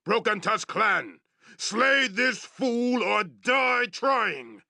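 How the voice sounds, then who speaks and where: An actor playing a savage, proud orc chieftain in a fantasy video game, growling threats in blunt, broken English. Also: male voice, sits high, very animated, extremely slow, sharp tone, no echo, studio quality, heavily acted. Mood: roaring, furious. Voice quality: gravelly growl. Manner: broken, blunt English with barked war cries.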